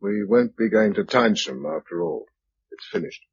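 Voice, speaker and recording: Husky, practical man in radio drama. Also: mid-range voice, wildly swooping pitch, normal speed, full character voice. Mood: weary, resolute. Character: practical, weary male leader of a survivor household. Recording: dull lo-fi radio recording.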